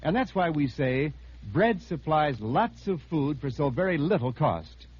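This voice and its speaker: A man delivers a scripted 1950s radio advertisement with very lively intonation. His voice is husky and his manner earnest and persuasive. The old recording is dull and lo-fi. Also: a little high, unhurried. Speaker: radio announcer reading a commercial.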